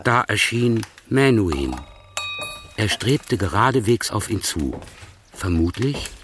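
An actor playing the narrator of a radio play, reading literary prose in a measured, precise way. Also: male voice, on the low side, big pitch swings, background noise.

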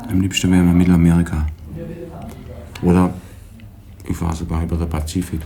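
An actor playing a cajoling escaped convict and needy younger brother, with a southern German Swabian accent, in a colloquial 1980s radio drama style. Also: male voice, deep voice, little pitch movement, fairly quick, creaky, warm tone. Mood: hopeful, wheedling.

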